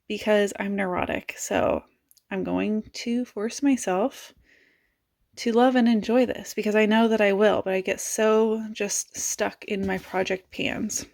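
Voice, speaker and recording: A woman beauty vlogger chatting casually about her makeup, raspy as she loses her voice and thinks aloud in a self-mocking way. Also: mid-range voice, fairly even intonation, measured pace, darkish tone.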